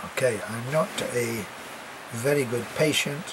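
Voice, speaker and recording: An older British man talks casually to camera about everyday life abroad, rambling, with plenty of ums and restarts. His voice sits at an ordinary middle pitch and sounds a little congested from a lingering cough. Steady background noise runs beneath him. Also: unhurried. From Britain. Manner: rambling and chatty, many fillers and restarts. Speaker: expat vlogger.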